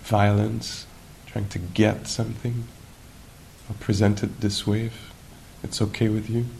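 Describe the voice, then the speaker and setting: Male meditation teacher, lowish creaky voice, unhurried and musing, live recording with faint rumbling noise. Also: little pitch movement, poor audio. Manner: unhurried, musing, gentle questioning with long pauses. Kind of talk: guided meditation at a retreat.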